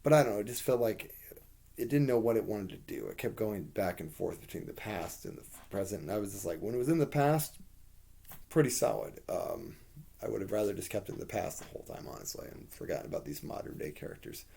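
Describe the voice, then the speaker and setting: This is a man whose voice is hoarse and rough, sitting low and dark with a gravelly edge. He rambles through a casual review, piling up fillers and the odd swear word as he speaks his mind. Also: expressive, fast talker.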